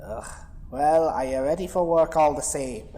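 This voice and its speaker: A man's voice: a little high, moderate pace, dark tone, natural voice. A man playing a strict, cautious starship chief in a sci-fi audio drama, curt and precise in speech. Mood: curt disapproving.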